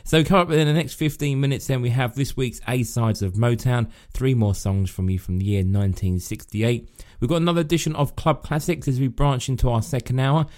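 A man presenting a radio music show, his voice husky from a sore throat, talking in a chatty, friendly way. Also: mid-range voice, a little fast, hardly any pauses, a little mellow. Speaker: radio host.

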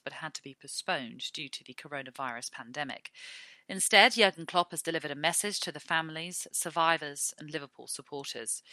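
Low, bright woman presenting. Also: dry recording. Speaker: podcast presenter. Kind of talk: measured scripted presenting with questions to guests.

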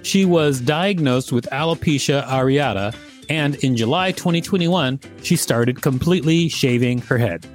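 A man's voice: medium pitch, normal speed, soft background music. Man hosting a read-aloud podcast. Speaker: podcast host. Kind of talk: reading an encyclopedia article with casual commentary.

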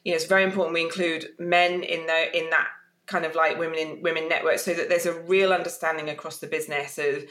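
A woman's voice: low-pitched, flat delivery, fast, a bit bright, roomy sound. A woman speaking as a panelist, hesitant and full of filler words as she gathers her thoughts. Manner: hesitant, lots of "you know" fillers. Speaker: panelist, tech company newcomer. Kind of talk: panel discussion.

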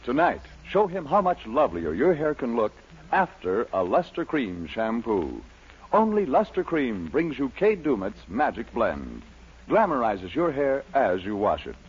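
A man reading a coaxing commercial pitch, heard on a lo-fi 1940s radio broadcast. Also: big pitch swings, unhurried, slightly rough. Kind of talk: scripted commercial announcing.

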